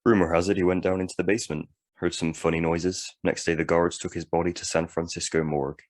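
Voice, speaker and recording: A man acting in an audio drama as a surly, hardened convict, gruff and terse in his talk. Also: sits low, level tone, quick pace. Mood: surly, indifferent. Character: surly, hardened male long-term prisoner, convicted killer.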